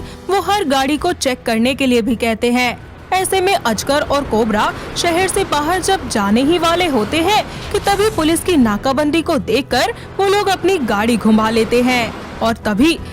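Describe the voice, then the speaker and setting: A woman reading a scripted story narration for an animated moral tale. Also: sits high, measured pace. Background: background music.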